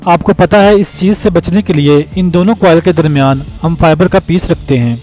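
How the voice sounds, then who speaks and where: A man's voice: fairly high pitch, moderate pace, low-quality recording. A man giving a scripted instructional voice-over as a tutorial host, explaining plainly step by step. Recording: music behind the voice. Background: background music.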